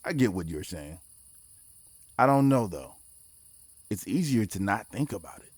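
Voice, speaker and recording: A man playing a guarded, pragmatic mission leader in his forties in a naturalistic audio drama, speaking in a measured, reflective way. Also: lowish voice, big pitch swings, darkish tone, slightly rough, no echo, full character voice.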